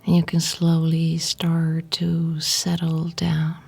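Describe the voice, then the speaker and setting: A woman guiding a yoga nidra meditation in a breathy, whispery, husky voice, soothing and unhurried, with pauses between short phrases. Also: low-pitched, monotone, a little mellow. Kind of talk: guided meditation narration.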